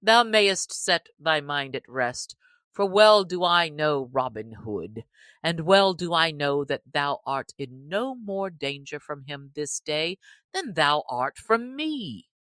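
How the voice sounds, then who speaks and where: Woman voicing a merry, teasing young male outlaw in a storybook reading; low and unhurried. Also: expressive, a bit bright, no echo, exaggerated voice. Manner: cheerful, teasing, old-fashioned speech. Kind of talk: audiobook character reading.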